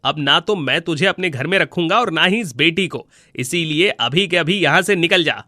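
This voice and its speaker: Man acting a harsh, forceful, cruel husband. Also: a little high, lively intonation, normal speed, no echo, clean studio sound. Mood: angry. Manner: harsh, scolding. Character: cruel, angry husband throwing out his wife. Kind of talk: Hindi moral storybook voice acting.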